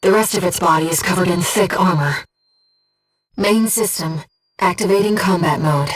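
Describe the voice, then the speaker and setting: Breathy, calm sci-fi guide. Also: female voice, fairly low pitch, distant mic, rough recording. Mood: composed, focused. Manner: measured, precise, earnest. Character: calm, devoted disembodied female voice in a pilot's head. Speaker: mission support guide to a mech pilot. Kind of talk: video game voice acting, tactical briefings.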